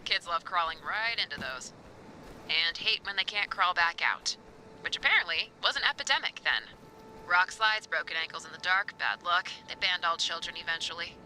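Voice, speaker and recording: A wry local woman in a video game. Her voice is extremely bright and cutting, with a deadpan delivery. It comes over a radio with faint noise. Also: fairly low pitch, normal speed, poor audio. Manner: sardonic, deadpan. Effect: walkie-talkie radio. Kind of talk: naturalistic video game acting.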